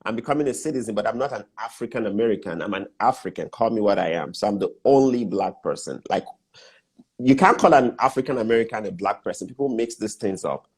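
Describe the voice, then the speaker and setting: A middle-aged man with a Nigerian accent and a fairly low voice. He explains things step by step, numbering his points and hammering them home with repetition, in the easy tone of a casual interview. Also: lively intonation, fast, breathy edge. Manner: emphatic, lists steps and repeats key points. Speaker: guest business mentor.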